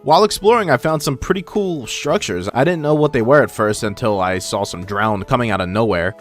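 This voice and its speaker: A young man narrates a gaming video in a casual, informal voice run through a voice filter. His pitch sits in the middle range, and he is a little self-deprecating. Faint music plays behind him. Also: fairly quick. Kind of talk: casual scripted gameplay narration. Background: background music.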